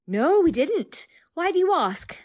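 A woman's voice: very high-pitched, very animated, unhurried, darkish tone, exaggerated voice. An actress playing a polite, curious female AI turned human in an audio drama, courteous and formal. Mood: curious, questioning.

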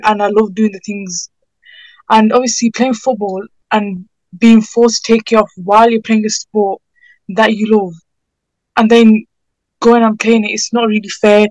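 A woman's voice: mid-range voice, no room sound, rough recording. A teenage British girl answers interview questions in an earnest, informal way. Her intonation stays fairly level and she sometimes hesitates. The sound is dry, with little room on it. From United Kingdom. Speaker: interviewee, student campaigner.

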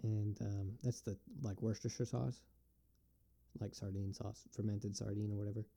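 A man's voice: on the low side, level tone, gravelly, mellow. A man with an upstate New York accent, musing aloud in a casual, rambling solo video and often stopping to restart his thoughts. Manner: rambling, halting, thinks aloud. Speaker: vlogger answering questions.

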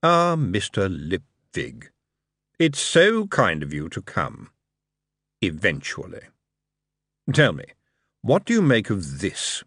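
A man acts out a suave tyrant in a storybook. He speaks slowly and precisely, with cool irony and quiet menace, in a very dry acoustic. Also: deep voice, huge pitch swings, breathy edge, dry recording, heavily acted. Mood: dry, ironic. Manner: measured, ironic, precise.

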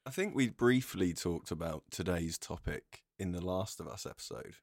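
A man with a deep voice co-hosts a podcast in casual conversation. The sound is dry and close, with no room around it. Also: expressive, normal speed, vocal fry, a little mellow, no room sound. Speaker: podcast co-host. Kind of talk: casual chat.

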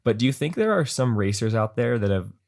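A man's voice: mid-range voice, brisk, gravelly. Laid-back joking male interviewer. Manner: relaxed, joking. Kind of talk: casual interview chat.

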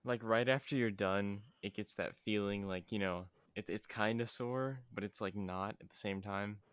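A young man hosting a casual podcast, relaxed and easygoing in how he talks. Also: lowish voice, moderate pace, clean tone, no echo, clean studio sound. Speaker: podcast host. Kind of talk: casual chat.

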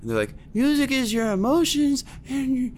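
A man's voice: very high-pitched, wildly swooping pitch, moderate pace, a little mellow, slight background noise. A man working as a music producer and teacher, talking emphatically in a casual chat, with lots of fillers.

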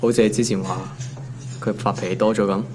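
A man's voice: on the low side, steady tone, quick pace, close to an everyday voice. An actor with a Hong Kong accent playing an ordinary office worker in his twenties, wry and colloquial, in a naturalistic audio drama. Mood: teasing, casual. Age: 20s. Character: ordinary young male office worker, teasing and put-upon.

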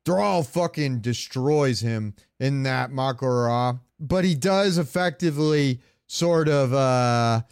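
A dry, close recording of a man with a mid-pitched voice co-hosting a podcast. He chats casually and rambles along with a fan's enthusiasm. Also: slow, hardly any pauses, smooth, no echo. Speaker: podcast co-host. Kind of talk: casual chat about games.

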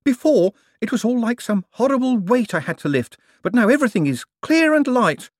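A man voicing an excitable male craftsman who talks eagerly and breathlessly. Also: extremely high pitch, fairly quick, no echo, studio quality, pitched above the performer's own voice, heavily acted. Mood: exhilarated.

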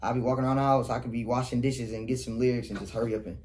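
A man's voice: medium pitch, fairly even intonation, very fast, heavy background noise. A young man with a Detroit accent, a rapper talking casually in an interview, rambling and laughing often. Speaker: rapper guest.

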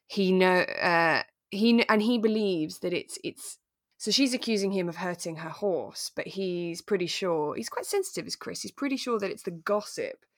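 A woman co-hosting a podcast, talking through a drama in a relaxed, thoughtful, explanatory way. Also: on the low side, moderate pace, dry recording, clean studio sound. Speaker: podcast co-host. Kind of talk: relaxed conversational discussion of a radio drama.

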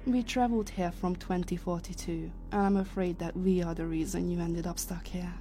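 Lucinda, a woman playing a mellow, commanding leader. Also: fairly low pitch, steady tone, soft background music, natural voice. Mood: composed. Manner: measured, authoritative, explanatory. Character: Lucinda, composed female leader of secret time travelers. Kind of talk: science fiction audio drama acting.

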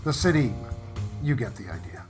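A woman playing a deadpan, hard-boiled office worker who talks like a 1940s radio detective in a comedy. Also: a bass voice, normal speed, music in the background. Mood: deadpan narrating. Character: deadpan hard-boiled cop-show parody office worker Joe Friday.

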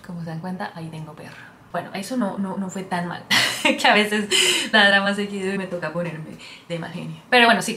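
A woman's voice: mid-range voice, sharp tone, slight background noise. A woman, chatting casually to her viewers as a vlogger and drifting into English now and then. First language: Spanish. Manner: chatty, rambling, switches into English mid-sentence. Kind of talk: casual talk to camera.

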